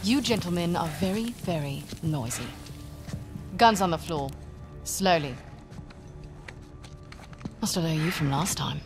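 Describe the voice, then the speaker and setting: A tough female mercenary commander from a cinematic game. Her voice sits fairly low and she speaks in firm, impatient orders, with music underneath. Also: lively intonation, unhurried, pauses often. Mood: commanding, dry. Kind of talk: cinematic video game acting. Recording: music bed behind dialogue.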